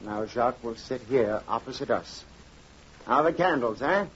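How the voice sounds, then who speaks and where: A man's voice: mid-range voice, lively intonation, natural voice. Man acting grave fisherman father, dull old radio. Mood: grave, resolved. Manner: halting, earnest, repeating words. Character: grave, careworn middle-aged fisherman father, stern with his son. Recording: dull, noisy vintage radio recording.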